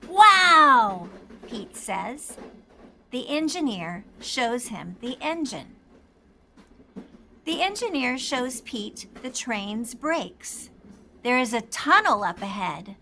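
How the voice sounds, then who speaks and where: A woman reading a children's storybook aloud and asking young listeners questions, in an expressive storytelling manner. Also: a little high, gravelly, slight background noise. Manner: slow, expressive delivery aimed at young children. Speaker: children's storybook reader and host. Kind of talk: scripted read-aloud storytelling with quiz questions for kids.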